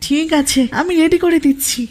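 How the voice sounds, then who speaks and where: A woman's voice: extremely high pitch, steady tone, brisk. A woman voicing a busy, homely middle-aged mother in a comic cartoon. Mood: busy, obliging.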